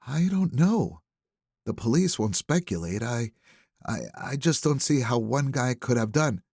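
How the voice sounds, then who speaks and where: A man voicing a grieving, guarded suburban widow in an audiobook, soft-spoken and halting. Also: mid-range voice, expressive, no echo, clean studio sound. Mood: pained, bewildered.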